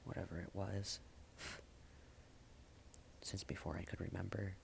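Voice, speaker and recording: Guy doing spoken word poetry, gravelly fry, pretty monotone, halting w big pauses and hushed line endings. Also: sits low, whispered. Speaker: slam poet. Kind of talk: spoken-word slam poetry, slow and repetitive.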